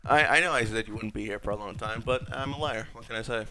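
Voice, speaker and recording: A young man with a raspy voice, sick, rambling wryly through casual gameplay commentary as a streamer. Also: fairly low pitch, fast talker, bright, forceful tone, a little hiss or hum. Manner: rambling, wry, self-mocking. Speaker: gaming streamer.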